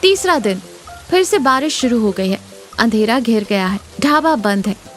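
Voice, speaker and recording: High-pitched woman narrating a story. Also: animated, normal speed, fairly bright tone, faint music behind. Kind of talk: scripted narration of a cartoon moral story.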